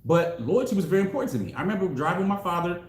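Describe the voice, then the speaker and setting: A man in his forties, a relationship coach, telling stories in a casual, slangy, animated way with frequent laughs. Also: high-pitched, rapid-fire. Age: 40s. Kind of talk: casual discussion. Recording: echoey room.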